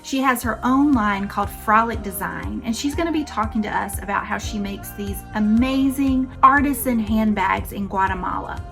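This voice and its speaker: A woman's voice: medium pitch, normal speed, gravelly, hardly any pauses, fairly bright tone, faint music behind. A woman hosting an interview show, introducing her guest with enthusiasm and asking questions. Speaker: interview host. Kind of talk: upbeat show host.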